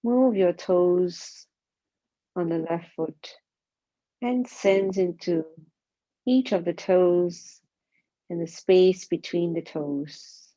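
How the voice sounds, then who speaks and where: A woman guides a body scan meditation, speaking calmly and gently in short, spaced phrases. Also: lowish voice, extremely slow, pauses often. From Singapore. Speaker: mindfulness meditation teacher. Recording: dull, muffled lo-fi sound.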